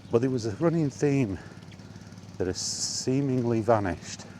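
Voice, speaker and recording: A man's voice: mid-range voice, expressive, measured pace, mellow, slight background noise. A British man giving a casual, rambling, blunt and exasperated monologue as a film vlogger.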